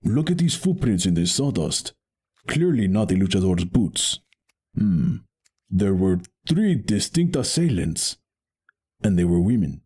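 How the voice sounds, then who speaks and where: An actor playing a noble, solemn masked wrestling champion in an audio drama, speaking with formal, grandiose earnestness. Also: male voice, fairly low pitch, big pitch swings, unhurried, darkish tone, husky, full character voice. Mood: solemn, investigative.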